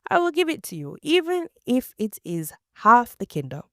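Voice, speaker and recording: A woman's voice: a little high, big pitch swings, moderate pace, fairly bright tone, no room sound, pitched above the performer's own voice. Woman reader as a generous king, gracious and lifted, dry close sound. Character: generous king, man, grand and indulgent.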